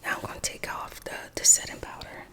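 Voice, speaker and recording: A woman beauty vlogger whispering a slow, dramatic storytime while she does her makeup.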